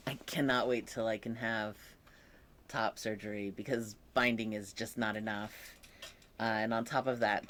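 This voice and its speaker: Young man, unhurried and reflective, voice that sometimes croaks, casual vlog talk. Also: mid-range voice, a bit bright, a little hiss or hum. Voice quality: occasionally croaky voice. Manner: slow, thoughtful, with many pauses and fillers. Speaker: vlogger. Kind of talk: casual personal update.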